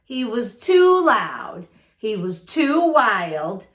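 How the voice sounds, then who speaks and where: A woman's voice: on the high side, very animated, very slow, echoey room. A woman reading a children's storybook aloud like a teacher, expressively, talking directly to her young listeners.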